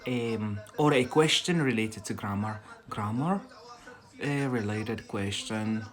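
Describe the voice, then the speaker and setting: A man's voice: on the low side, takes it slowly. Chatty Algerian man hosting a live stream. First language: Algerian Arabic. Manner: chatty, rambling, laughs often, many fillers. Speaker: host of an English-learning live stream. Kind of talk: casual live-stream teaching chat.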